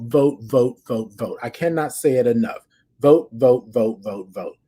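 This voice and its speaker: An American man with a fairly high-pitched voice talks as a podcast host. He speaks casually and directly to his listeners, as if checking in with them. He is emphatic, often repeating a phrase to press the point. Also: a little mellow. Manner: addresses listeners directly as an audience. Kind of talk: casual chatty check-in and storytelling.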